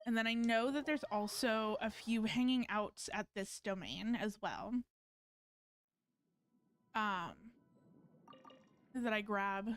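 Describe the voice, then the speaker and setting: A woman's voice: mid-range voice, slow, pauses often, fairly bright tone, slight background noise. A woman giving casual live-stream commentary on a game, rambling and thinking aloud as she plays.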